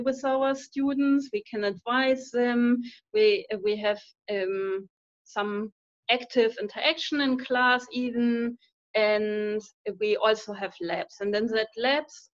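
A woman's voice: a little high, no echo. German-accented woman lecturer on a video call. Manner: earnest, halting with fillers and restarts. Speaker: university lecturer. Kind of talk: video call interview answers. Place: indoor room at home. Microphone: laptop webcam mic on a video call. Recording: dull lo-fi call audio.

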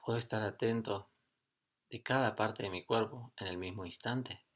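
Guy doing guided meditation, lowish voice, calm, short phrases w lots of pauses. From Argentina. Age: middle-aged. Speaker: yoga and meditation teacher.

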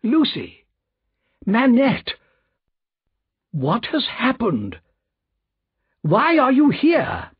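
A man voicing an anxious, urgent gentleman banker with an agitated, pleading manner in a storybook reading. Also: high voice, sing-song, slow pace, pauses often, slightly rough, muffled recording, voice pushed up, heavily acted. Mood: alarmed.

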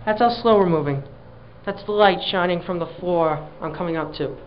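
A man's voice: high voice, normal speed. Man muttering exasperated commentary in an elevator. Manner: dry exasperated running commentary. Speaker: elevator enthusiast filming a ride. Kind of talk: casual handheld narration. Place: small elevator car, indoors. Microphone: handheld camera mic. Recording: dull lo-fi camera audio, faint hum.

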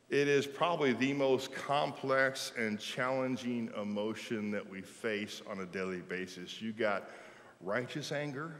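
Bright-voiced pastor preaching. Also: male voice, mid-range voice. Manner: animated preaching, folksy with "y'all", gestures while speaking. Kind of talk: sermon. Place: large church sanctuary, at a pulpit. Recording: long church reverb.

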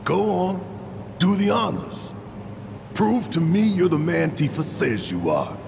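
An actor playing a burly, hot-tempered militant leader in a video game, gravelly, emphatic and confrontational. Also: male voice, high-pitched, very animated, takes it slowly, noisy background, heavily acted. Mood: challenging. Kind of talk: video game cutscene acting. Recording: reverberant hall, game music behind.

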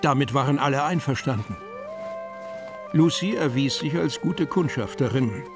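An actor plays the storyteller of a children's fantasy radio play. He is a man with a mid-pitched voice, reading scripted narration. Music plays clearly behind him. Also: animated, normal speed, natural voice. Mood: storytelling. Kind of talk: scripted audio drama narration.

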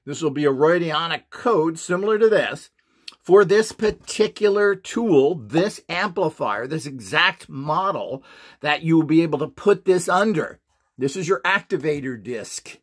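A man's voice: on the high side, expressive, measured pace, slightly rough. A middle-aged man demonstrating devices on a tabletop, talking in an opinionated, dismissive, boastful way. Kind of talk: casual product demonstration. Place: indoor desk, hands-on table demo.

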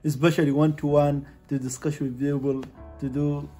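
A Sudanese man talks to camera with a Sudanese accent. His voice is soft-edged and mellow, staying quite level, with faint music behind. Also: fairly high pitch, little pitch movement, moderate pace. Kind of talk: on-camera testimonial. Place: plain indoor room.

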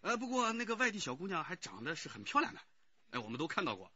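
An eager, chatty neighbourhood official in a radio play. His husky voice swings widely in pitch, with effusive, hesitant gossip. The sound is a little dull. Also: wildly swooping pitch, fast, bright, forceful tone, full character voice. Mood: gossipy, explanatory. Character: chatty, eager-to-help male neighbourhood committee director. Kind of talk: Chinese radio drama acting. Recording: dull, muffled radio drama recording.

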